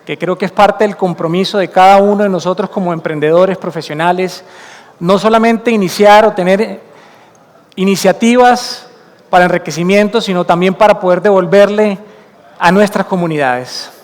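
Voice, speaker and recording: Man, Colombian accent, high voice, conference talk, earnest explaining, echoing hall. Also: normal speed. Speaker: conference speaker. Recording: long hall reverb from a conference room.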